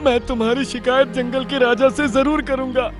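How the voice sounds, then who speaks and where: Cartoon deer: male actor, very high, strained and protesting, music underneath. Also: fairly even intonation, moderate pace. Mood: angry grief. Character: grieving, indignant young male deer.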